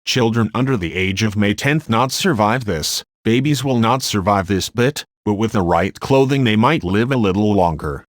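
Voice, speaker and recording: A sombre weather expert acted by a man gives plain survival instructions for a radio drama. His voice sits fairly low and the recording is very dry. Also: lively intonation, normal speed, hardly any pauses, no room sound, natural voice. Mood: grave, instructive. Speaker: radio show guest expert. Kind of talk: scripted radio drama monologue.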